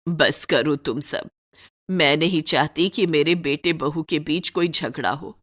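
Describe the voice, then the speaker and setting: Woman voicing a low, slow old mother-in-law. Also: a bit bright. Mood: weary, pleading. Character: old weary self-sacrificing mother-in-law.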